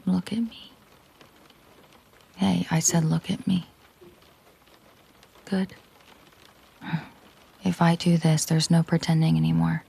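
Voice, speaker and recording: A woman performs a dangerous, protective mafia boss girlfriend for an intimate audio roleplay. Her voice is dark and breathy with a gravelly fry, often sinking toward a whisper. She speaks very slowly and deliberately, possessive and fully in control. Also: on the low side, level tone, heavily acted. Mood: commanding, then cold, resolute.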